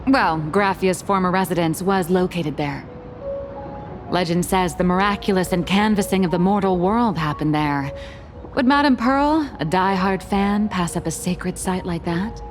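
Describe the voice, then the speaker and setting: A woman's voice: on the low side, normal speed, lightly acted. A woman playing a cheeky, unflappable trickster with a dry wit in a video game, glib and casual. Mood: wry, gossipy. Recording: music behind the voice.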